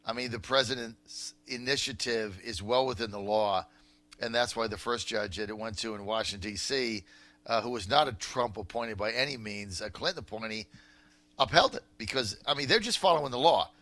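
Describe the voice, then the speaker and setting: An American man hosting political talk radio, with an incredulous, emphatic and halting way of talking. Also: medium pitch, a bit bright. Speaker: radio talk show host.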